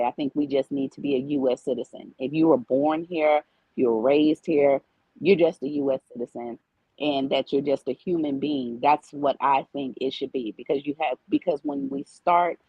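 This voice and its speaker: A woman's voice: low voice, husky, no room sound, dull audio. A woman speaking as a talk show guest, earnestly making her case and repeating her points in casual discussion. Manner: explains at length, repeats points.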